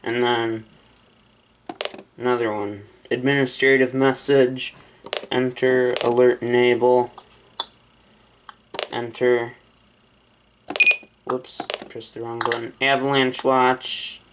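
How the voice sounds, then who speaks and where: A man's voice: mid-range voice, little pitch movement, takes it slowly, pauses often, slight background noise, low-quality recording. A man casually talking through a gadget setup as a vlogger, thinking aloud as he reads out options and decides. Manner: thinking aloud, reading menu items then deciding. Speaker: vlogger setting up a weather radio. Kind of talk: casual unboxing and setup chat.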